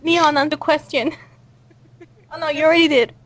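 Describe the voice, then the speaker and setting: A woman with a fairly high voice hosts a casual interview. She talks in a hesitant, rambling way. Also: fairly even intonation, normal speed. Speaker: host of a cast interview.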